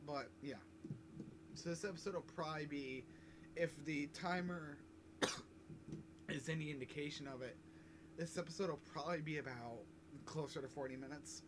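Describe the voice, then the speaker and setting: Guy rambling through a game playthrough, coughing, kinda high voice, noisy mic. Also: normal speed, long pauses. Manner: rambling, swears a lot, self-mocking. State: coughing fits. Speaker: gaming YouTuber. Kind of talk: casual let's-play commentary. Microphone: desk mic.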